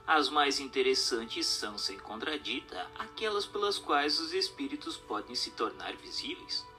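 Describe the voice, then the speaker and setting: A man's voice: fairly high pitch, sharp tone. A man reading a scripted text as narrator in a formal, didactic manner. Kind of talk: scripted audiobook-style narration.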